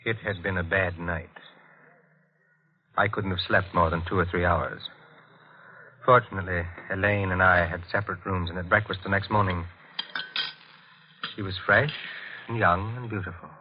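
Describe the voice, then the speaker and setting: Male actor as nervous brooding husband, confessional, measured, lowish voice, 1940s radio drama, dull lo-fi sound. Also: fairly even intonation, slight background noise, lightly acted. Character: nervous, brooding older husband, secretive bird keeper with murderous past. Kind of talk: 1940s radio acting, first-person narration. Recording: muffled old radio, loud music behind.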